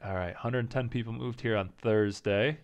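Male gaming host, low clear voice, relaxed thinking-aloud commentary, mild rasp from a cough. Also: normal speed. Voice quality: coughing, slightly raspy. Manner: thinking aloud, indecisive, self-talking. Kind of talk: casual let's-play commentary.

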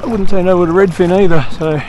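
A man's voice: high voice, steady tone, fast, a little mellow. An Australian man chatting casually while fishing from a kayak, rambling with lots of fillers, recorded on an action camera out on a lake. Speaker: fishing vlogger. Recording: outdoor camera audio with wind and water noise. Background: wind and water noise.

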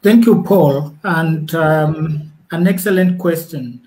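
A middle-aged man answering an interview question over a video call, polite and emphatic with short pauses. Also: fairly high pitch, dark tone, poor audio. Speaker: development agency director guest.

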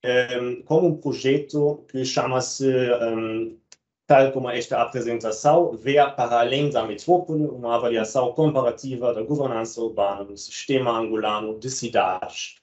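A man's voice: mid-range voice, fairly even intonation, moderate pace, a little mellow, dull audio. A man from Germany speaking with a German accent, giving an academic presentation as a guest researcher, hesitating often with filler sounds. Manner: hesitant, many ehm fillers.

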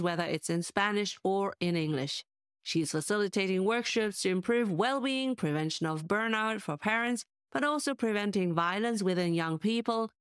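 A woman's voice: medium pitch, smooth, no echo, studio quality. A woman hosting a podcast, reading her intro and welcoming a guest with enthusiasm. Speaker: podcast host. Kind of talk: scripted intro and interview.

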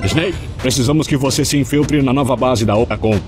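A stern older colonel played by a man gives orders in a commanding, serious way. It is a video game parody dub, with clear music behind the voice. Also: mid-range voice, brisk, lightly acted. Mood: stern briefing.

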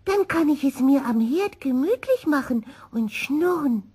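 A woman voicing a gentle, wistful little boy kitten in a children's storybook, speaking earnestly. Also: sits high, lively intonation, unhurried, pitched above the performer's own voice.

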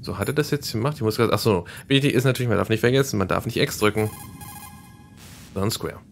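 A man's voice: fairly low pitch, fast, gravelly, slight background noise. A man hosting a Let's Play, chatting casually and explaining the game as he plays. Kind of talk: casual gameplay commentary.

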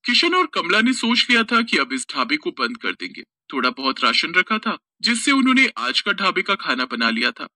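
A man narrating an animated moral story. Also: sits high, normal speed, hardly any pauses, no room sound, clean studio sound.

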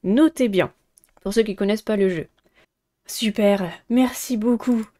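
A woman's voice: medium pitch, a little slow, warm tone. A young woman, casually commenting on a game and reading its text aloud. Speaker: streamer playing a visual novel.

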